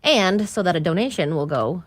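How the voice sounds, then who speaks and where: A woman with a fairly low voice reads listener greetings on morning radio. She keeps the tone upbeat and laughs easily, cueing segments from the studio. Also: animated, normal speed. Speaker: radio studio producer and co-host. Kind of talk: casual morning radio banter.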